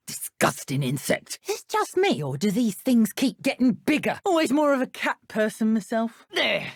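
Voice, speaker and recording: A woman with a British accent playing an arrogant, menacing dark witch poacher in a video game, with a theatrical, mocking manner. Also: fairly high pitch, big pitch swings, moderate pace, husky, dry recording, studio quality, exaggerated voice. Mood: contemptuous, gloating. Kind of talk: video game combat voice acting.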